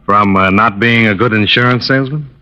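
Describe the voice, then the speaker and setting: A man's voice: lowish voice, fairly quick, sharp tone. An actor playing a cool, probing insurance investigator who narrates his case in a clipped, businesslike old-time radio detective style. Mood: dry, probing. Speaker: lead character and narrator. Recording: dull, band-limited vintage radio recording.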